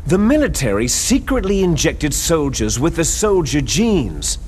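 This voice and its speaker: An actor playing a bitter, fanatical villainous clone soldier in a video game, arrogant, menacing and condescending, with drawn-out theatrical phrasing. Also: male voice, on the high side, sing-song, a little mellow, slight background noise. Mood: grave, revealing. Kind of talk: video game cutscene acting. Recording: music behind the voice.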